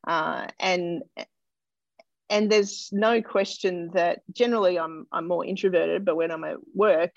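A gravelly-voiced Australian woman talks through her answers slowly and thoughtfully, pausing and restarting as she gathers her words. She sounds like she's on a dull, lo-fi remote line. The room around her is dry and close. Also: lowish voice, fairly even intonation, no room sound. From Australia (Melbourne). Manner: thoughtful, hesitant, lots of fillers and restarts. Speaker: doctor and interview guest. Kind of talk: casual interview answers.

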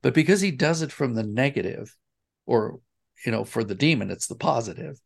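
Thoughtful man with a creaky voice. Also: mid-range voice, animated, brisk. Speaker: interview guest, audio drama writer and director. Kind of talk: conversational interview answers.

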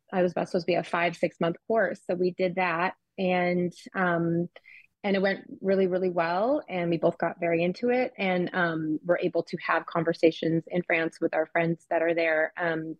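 Female group member on a muffled, close-sounding video call, slightly low voice, chatty personal storytelling with restarts. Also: dry recording. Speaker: group member sharing her experience.

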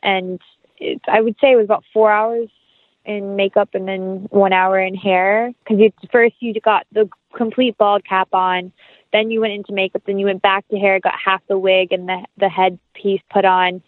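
A woman's voice: medium pitch, level tone, moderate pace, no room sound. A woman speaking as an interview guest, chatting casually about her creative work in an enthusiastic, effusive way. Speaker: interview guest, actress and writer. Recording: thin phone-like sound.

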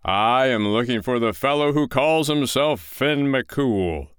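A man's voice: medium pitch, expressive, a bit bright, smooth, dry recording, studio quality, pitched above the performer's own voice. A man voicing a huge, boastful, impatient giant in a storybook tale, roaring and growling his words. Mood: roaring, angry. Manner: roaring and growling, slow drawn-out speech.

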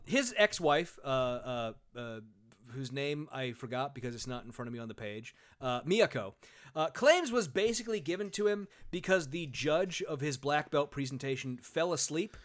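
A man's voice: on the high side, lively intonation, normal speed, hardly any pauses, fairly bright tone. A man hosting a casual comedy podcast, talking sarcastically, swearing freely and using lots of fillers. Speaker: podcast host.

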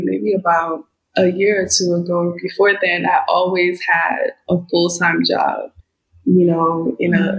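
A woman's voice: lowish voice, vocal fry, poor audio. A middle-aged woman from Liberia, raised in the United States, speaking as a business coach guest in an earnest, reflective way. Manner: reflective, earnest, with frequent "you know". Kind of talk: casual interview talk.

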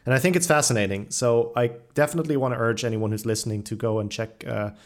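Tentative male podcast host, medium pitch. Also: fast. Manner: tentative, hedging, attentive. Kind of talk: casual interview conversation.

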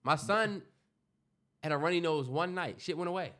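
A young man co-hosting a podcast, congested, telling stories in an animated, joking way and swearing freely. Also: on the high side, moderate pace. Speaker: podcast co-host. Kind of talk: casual storytelling chat.

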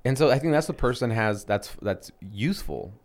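A man's voice: medium pitch, expressive, moderate pace. A man in his thirties co-hosting a casual chat, rambling with many restarts and fillers. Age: 30s. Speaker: podcast co-host.

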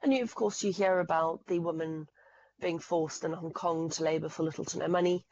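A woman's voice: low voice, fairly even intonation, fairly quick, darkish tone, dull audio, slight background noise. A woman from Zimbabwe with a Zimbabwean accent, a podcast guest explaining things in an earnest, fact-heavy way with frequent restarts. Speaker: podcast guest, fraud specialist. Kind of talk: explanatory interview talk.

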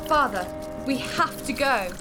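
A young alien princess in a sci-fi audio drama, with a high voice full of earnest urgency. She is a dutiful, loving daughter who speaks emotionally. Music plays clearly behind her. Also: animated, measured pace. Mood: commanding, urgent.

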